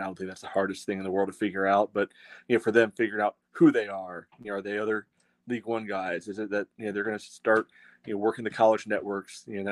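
A man's voice: fairly low pitch, dry recording. A man co-hosting a soccer fan podcast, chatting casually in a rambling way with many "you knows". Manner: rambling, peppered with "you know". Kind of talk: casual sports talk.

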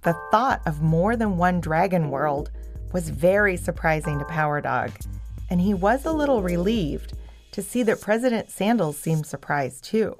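A woman narrates a children's story with expressive delivery. Her pitch sits a little low, and faint music plays behind her. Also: normal speed.

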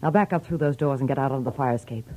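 A woman's voice: a bass voice, racing, warm tone, low-quality recording. A hard-edged American femme fatale in old radio style. She is cool and curt, with threat and scorn in her delivery. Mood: cold, menacing. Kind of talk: 1940s radio acting.

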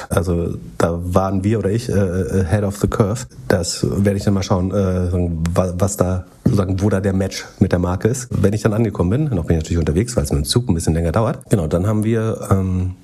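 A man's voice: sits low, fairly even intonation, a little fast, mellow, breathy edge. A man co-hosting a podcast in casual chat, talking while he has a cold, with many hesitant fillers and dry sarcasm. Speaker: podcast co-host.

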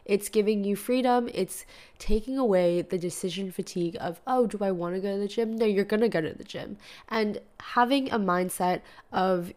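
A woman's voice: medium pitch, a little mellow. A young woman hosting a solo podcast, talking casually with a stuffy nose and sore throat, filling her talk with "like" and "you know". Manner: chatty, lots of "like" and "you know". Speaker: podcast host.

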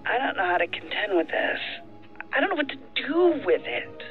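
Tinny phone-voiced frustrated agent. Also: female voice, on the high side, very animated, normal speed. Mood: doubtful, troubled. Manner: tense, earnest, pointed. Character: frustrated, self-doubting female federal agent. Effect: telephone filter. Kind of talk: audio drama acting. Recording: tinny phone line, faint music behind.

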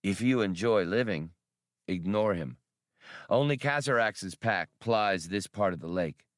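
A man voicing an arrogant, menacing dock gang boss in a storybook, high-handed and threatening. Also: animated, measured pace, no echo, studio quality.

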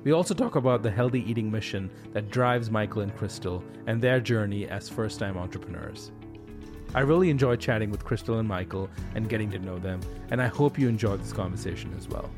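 A man's voice: fairly low pitch, fairly quick. Podcast host man, easygoing questions. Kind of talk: scripted intro then casual interview. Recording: intro with guitar music.

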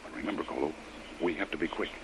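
A bossy American man of action from a classic radio serial. His voice sits low and his delivery is clipped and authoritative. A faint hiss of old broadcast noise runs behind him. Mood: hushed, tense. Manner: brisk, authoritative, clipped orders. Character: tough, commanding male adventurer, bossy and impatient. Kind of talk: old-time radio adventure acting.

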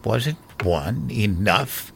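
A man playing a gentle, bereaved elderly husband and father in a horror audio drama, hesitant and pleading. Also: lowish voice, lively intonation, very slow, gravelly, slightly rough, full character voice. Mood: alarmed, reluctant.

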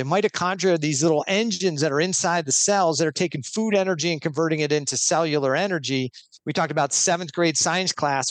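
A man's voice: on the high side, no room sound. A man talking as a guest expert on a podcast, explaining earnestly step by step with a few restarts.

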